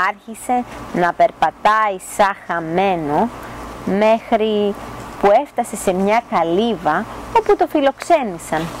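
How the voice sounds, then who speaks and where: A young woman reads a children's story in an expressive storytelling style, at a medium pitch. She is recorded outdoors, with some background noise around her. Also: poor audio. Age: young adult. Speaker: storyteller reading a children's book. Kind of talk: expressive fairy tale narration. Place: outdoors in a garden.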